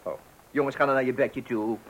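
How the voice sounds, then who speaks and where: A man's voice: mid-range voice, fairly even intonation, a little fast, a little hiss or hum, natural voice, low-quality recording. An actor in a radio play playing a flustered, weary father, hesitant, stammering and exasperated. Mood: flustered, evasive. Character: flustered, weary father awaiting a birth at night.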